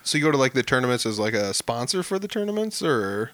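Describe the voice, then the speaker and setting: A man's voice: medium pitch, sing-song, fast talker, fairly bright tone, no room sound. A man hosting a podcast, asking questions in a casual way and reacting upbeat with frequent laughs. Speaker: podcast host. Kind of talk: casual interview chat.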